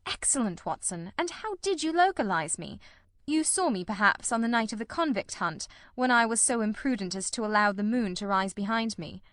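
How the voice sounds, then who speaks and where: A woman's voice: mid-range voice, expressive, normal speed, a bit bright, no echo, clean studio sound, lightly acted. A woman voicing a keen, composed male detective in an audiobook, with a cold, incisive, precise and wryly ironical delivery. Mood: brisk, approving. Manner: ironical, precise, politely teasing. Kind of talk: audiobook character dialogue.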